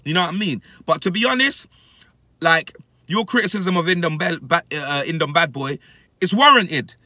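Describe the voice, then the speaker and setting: A London man in his thirties with a very bright, forceful, high voice rants casually and emphatically in thick slang. He repeats himself for punch, speaking into a phone inside a car, with a very dry, close sound. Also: lively intonation, normal speed, no echo. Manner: emphatic, repetitive street-slang delivery. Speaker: football fan reacting to his club. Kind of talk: animated casual rant to camera, heavy slang. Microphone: phone at arm's length.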